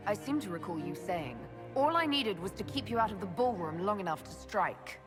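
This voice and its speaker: A woman's voice: mid-range voice, lively intonation, moderate pace, natural voice. An actress playing a shrewd, coolly confident female leader in a video game, dry, composed and pointed. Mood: coolly taunting. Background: music.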